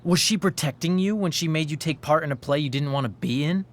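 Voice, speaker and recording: A man's voice: a little high, brisk, lightly acted. A man playing an anxious, accusing teenage boy in a dramatic video game, pressing his points with pleading emphasis. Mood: accusing, insistent.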